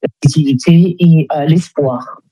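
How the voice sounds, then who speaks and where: Casting: female singer as radio guest, soft-toned mellow voice, hesitant delivery, close dry studio sound. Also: deep voice, moderate pace, breathy edge, no room sound, faint music behind, low-quality recording. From Democratic Republic of the Congo. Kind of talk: conversational radio interview.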